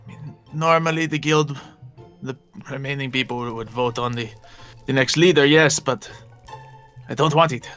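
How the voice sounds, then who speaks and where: A man playing a protective, reluctant guild leader in improvised game roleplay, instructing in a measured, slightly theatrical way. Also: mid-range voice, fairly bright tone, close to an everyday voice. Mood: reluctant, steady. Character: protective, commanding male guild mentor with reluctant authority. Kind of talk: improvised Minecraft roleplay acting. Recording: background music under voices.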